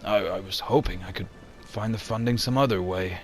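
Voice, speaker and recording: A man's voice: on the low side, moderate pace, soft background music, natural voice. A man voicing an anxious, stubborn archaeologist with a hesitant manner in an amateur motion comic. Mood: earnest, determined. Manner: hesitant, stammering. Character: anxious, stubborn male archaeologist, desperate for funding.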